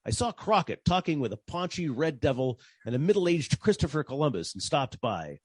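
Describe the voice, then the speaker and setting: An actor playing a wry, deadpan private detective narrating his caper in 1940s radio style. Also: fairly high pitch, normal speed, slightly rough, no echo. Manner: deadpan, wisecracking.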